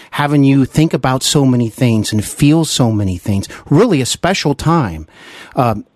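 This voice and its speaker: A male host conducts a conversational interview in a mid-pitched voice. He is enthusiastic and emphatic, often turning to his guest with a tag question. The sound is dry, with no room around it. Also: a little mellow, no room sound. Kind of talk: conversational radio-style interview.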